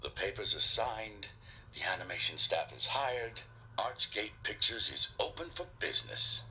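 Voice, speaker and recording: A smug new studio owner with a low yet sharply bright voice. He is measured and pleased with himself, with faint noise behind him. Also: animated, exaggerated voice, rough recording. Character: smug male studio owner, self-satisfied.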